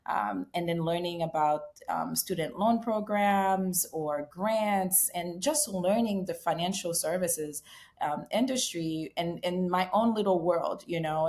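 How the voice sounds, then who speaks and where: A middle-aged woman from Haiti talks through her past as a podcast guest, pausing often with ums as she reflects. Her voice sits on the low side. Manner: reflective, many ums and restarts. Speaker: startup founder and CEO, guest. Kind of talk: casual interview conversation.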